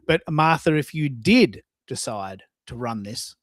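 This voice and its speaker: A man's voice: mid-range voice, animated, a little slow, a bit bright, dry recording, studio quality. A man hosting a live coaching talk, repeating words for emphasis. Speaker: host and social media coach. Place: dry room, online live stream.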